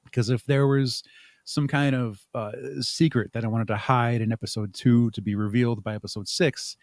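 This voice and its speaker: A man's voice: medium pitch, steady tone, normal speed, no room sound, clean studio sound. A man answering as an interview guest, talking conversationally and explaining things step by step with examples. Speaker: interview guest, audio drama creator.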